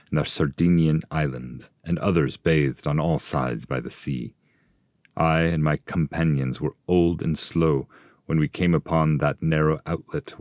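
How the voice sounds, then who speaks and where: A man acts the restless spirit of an old seafarer in an epic verse audiobook. The voice is low, proud and grave, declaiming its story. It is recorded dry, without room sound. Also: a little mellow, no room sound.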